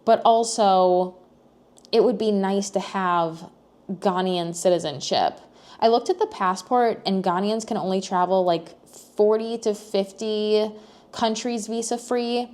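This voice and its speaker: A woman's voice: medium pitch, a little slow, darkish tone. American girl vlogging, rambly, lots of likes and um's, goes up at the end of questions. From United States. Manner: rambling, thinking aloud with many fillers and restarts. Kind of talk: casual personal vlog.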